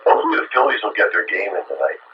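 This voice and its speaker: An American man with a fairly low voice chats casually over CB radio. He sounds wry and a bit grumbly, with everyday phrasing. The two-way reception is muffled and thick with static. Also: wildly swooping pitch, very fast. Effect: CB radio transmission.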